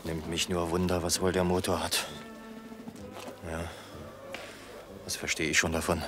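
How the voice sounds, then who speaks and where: Smug easygoing salesman guy in a radio play, deep voice, short casual musing phrases. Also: flat delivery, measured pace, over music. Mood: idle musing. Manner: casual, clipped musing to himself. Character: breezy, self-satisfied travelling salesman stranded by a breakdown. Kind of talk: German radio drama acting.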